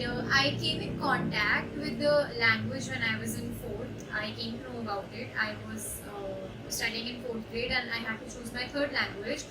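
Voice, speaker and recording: A young woman with an Indian English accent, a student intern, giving a reflective personal testimonial with frequent hesitations. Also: on the high side. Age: young adult. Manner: reflective, hesitant with many uh fillers. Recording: echoey room, distant mic, background noise.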